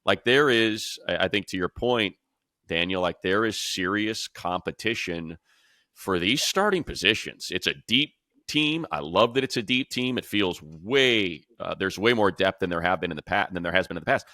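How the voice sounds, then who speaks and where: A man's voice: deep voice, animated, moderate pace, sharp tone, dry recording, studio quality. An American man hosting a casual sports panel, talking informally and throwing out questions. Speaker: sports show host and play-by-play announcer.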